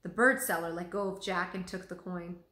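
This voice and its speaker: A woman reading a children's story aloud in an animated, expressive way. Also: on the low side, moderate pace. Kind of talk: expressive storybook read-aloud with character voices. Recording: roomy echo.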